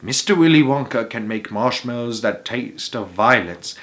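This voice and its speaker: Man acting an excitable old storytelling grandfather. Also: medium pitch. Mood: enthralled. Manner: emphatic, dramatic, savouring pauses.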